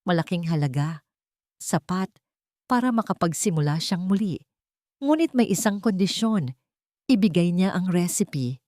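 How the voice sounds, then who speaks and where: Female storyteller, lower register, dry close sound, solemn and deliberate dramatic narration. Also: animated, dark tone, no room sound.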